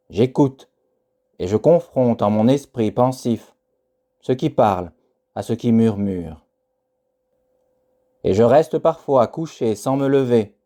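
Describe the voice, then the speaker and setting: Slow, very dark-voiced man reciting poetry. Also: medium pitch. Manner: solemn, measured, with deliberate pauses. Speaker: poetry reader.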